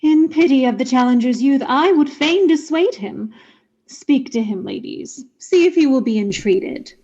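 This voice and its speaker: An imperious ruling duke in a Shakespearean radio play. The voice is fairly high and commanding, heard through slightly dull audio. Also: female voice, animated, normal speed, warm tone.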